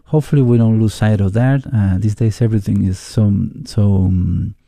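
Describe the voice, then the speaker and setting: A man with an Argentine Spanish accent, a musician guest in a casual interview, speaking in a thoughtful, halting way as he searches for words. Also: lowish voice, creaky, dark tone, no room sound.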